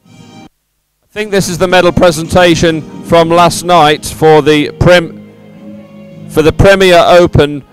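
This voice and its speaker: A man giving live event commentary in a halting, improvised way as he fills time. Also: fairly high pitch, fairly even intonation, faint music behind, low-quality recording. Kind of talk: live commentary on results and medal ceremony.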